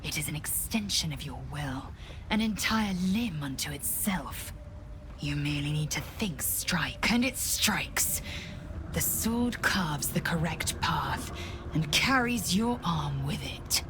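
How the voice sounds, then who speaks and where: Solemn, slow-speaking female alien warrior. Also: sits low, lively intonation. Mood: grave, instructive. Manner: solemn, measured, formal, reverent. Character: fierce, devout female githyanki warrior. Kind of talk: dramatic video game acting. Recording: music behind the voice.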